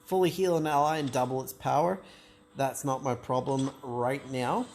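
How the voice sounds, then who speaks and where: A man's voice: medium pitch, steady tone, normal speed, a little mellow, a little hiss or hum. A man commentating his own card game match, thinking aloud in a hesitant, self-doubting way, with many restarts and fillers. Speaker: gameplay commentator.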